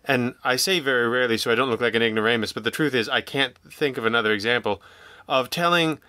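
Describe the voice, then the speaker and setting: A man with a bright, forceful voice in a very dry recording. He chats casually as an interview guest, feeling his way haltingly through digressions and self-interruptions. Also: medium pitch, no echo. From Canada. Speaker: podcast guest, audio drama writer and producer.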